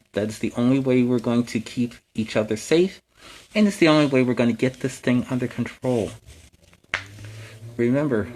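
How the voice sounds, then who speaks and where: A man's voice: medium pitch, a little mellow, a little hiss or hum, rough recording. An American man hosting a story time for young viewers as a friendly superhero, speaking in an earnest, instructive way with simple phrasing. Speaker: storytime show host in character as a superhero.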